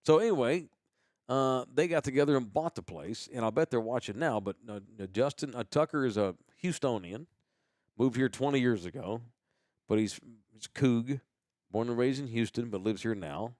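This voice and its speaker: An American man hosting a podcast with casual banter and sponsor shout-outs, talking in a slangy, rambling, profane way. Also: mid-range voice, normal speed, no room sound, studio quality. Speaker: host of a live podcast.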